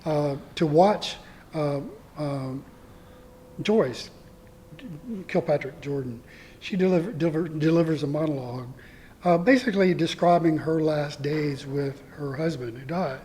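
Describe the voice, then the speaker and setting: A man guest on a theatre podcast with a soft voice, speaking hesitantly with many fillers. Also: fairly high pitch, unhurried, slight background noise. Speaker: guest, community theatre actor. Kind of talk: casual interview.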